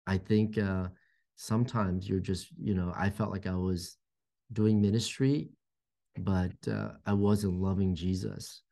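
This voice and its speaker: A man in his forties speaks in a low, gravelly voice. He is a pastor talking about his faith, and he pauses often, circling back with fillers and restarts. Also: steady tone, dark tone. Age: 40s. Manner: halting, many fillers and restarts. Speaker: pastor and guest. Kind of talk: reflective faith conversation.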